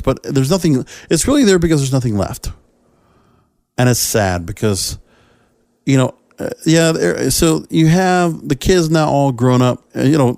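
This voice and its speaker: A man's voice: mid-range voice, a little mellow, no echo. Dry-sounding man hosting a casual podcast. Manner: rambling, conversational, opinionated. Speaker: podcast host. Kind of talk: casual solo entertainment talk.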